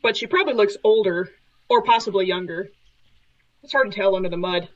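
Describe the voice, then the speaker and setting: A woman's voice: medium pitch, fairly quick. A woman talking casually as a player in a roleplaying game, describing her character, on slightly lo-fi audio. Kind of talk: casual table talk, describing own character. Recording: slightly dull, lo-fi online audio.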